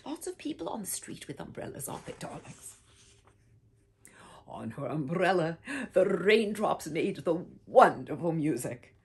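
An older woman reading a children's picture book aloud with theatrical whispers and swooping pitch, talking warmly to young listeners as "my dears". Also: sing-song, unhurried, slightly rough. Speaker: storybook reader. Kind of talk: expressive read-aloud for children. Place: home room with bookshelf, indoors.

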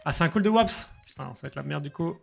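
A man, live-streaming slot games and chatting excitedly with viewers over a headset in a small room. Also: on the high side, quick pace, gravelly, soft background music. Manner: excitable, slangy, chats with viewers. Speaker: casino streamer.

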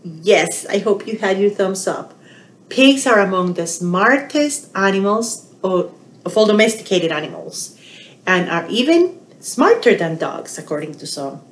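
A middle-aged woman leads a children's storytime in a roomy, echoey space. Her pitch swings in a lively way and she takes her time, talking straight to the little ones. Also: measured pace. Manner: animated, addressing little children directly. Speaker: children's librarian hosting storytime. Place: small indoor room. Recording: roomy, echoey home webcam sound.

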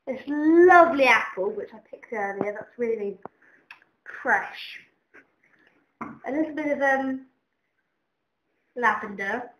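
A woman's voice: fairly high pitch, fairly bright tone. A young woman presenting a mock cooking show as its host. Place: home kitchen. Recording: echoey kitchen room sound.

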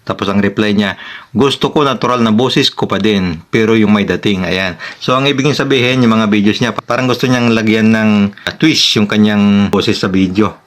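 Man, Filipino accent, lowish pitch, tutorial host, casual step-by-step instruction, polite and conversational. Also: normal speed, a bit bright, slight background noise.

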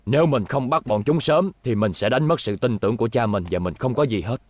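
A man's voice: mid-range voice, expressive, fairly quick, dry recording, lightly acted. A man playing a frustrated, proud young space patrol officer in a cartoon dub. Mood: frustrated, brooding. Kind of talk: Vietnamese cartoon dubbing. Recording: background music under dubbed dialogue.

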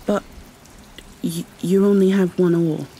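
A woman playing a polite, curious cave explorer in an ASMR roleplay, speaking in a tentative, apologetic, halting way. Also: on the low side, fairly even intonation, dark tone, low-quality recording. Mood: friendly, hesitant. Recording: faint ambient background noise.

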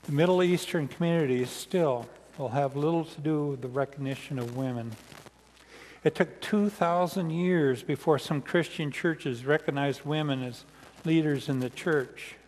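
An older man with an American accent preaching a sermon in measured, deliberate phrases as a pastor. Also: fairly high pitch, a little mellow, a little hiss or hum. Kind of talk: sermon read from notes. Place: large church sanctuary, indoors, seated at the front. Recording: reverberant church hall sound.

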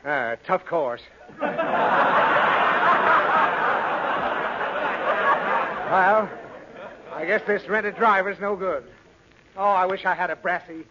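A man's voice: sits high, unhurried. A man playing a fussy, flustered, exasperated father in a 1930s radio comedy. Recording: dull, noisy 1930s radio recording.

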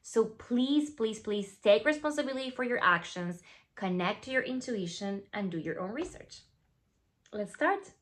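Woman, thirties, Venezuelan accent, Spanish native, higher voice, playful, skincare founder vlog. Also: normal speed. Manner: playful, animated, drops to asides and whispers. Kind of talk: scripted talk to camera. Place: plain studio backdrop, indoors.

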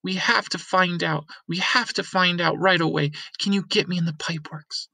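Man putting on an eager young girl, higher-pitched. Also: fairly even intonation, moderate pace, vocal fry, sharp tone, dry recording, full character voice. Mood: excited. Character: eager, shy young girl with a secret discovery.

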